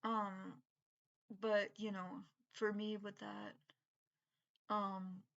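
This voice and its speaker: A middle-aged woman with an unusual voice from breathing troubles, rambling in a halting, casual monologue full of fillers and restarts. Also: mid-range voice, level tone, a bit bright, highs cut off. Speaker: vlogger.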